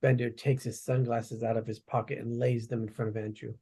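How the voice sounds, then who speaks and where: Mellow, husky man reading stage directions. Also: medium pitch, little pitch movement, a little fast. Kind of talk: plain narration at a table read.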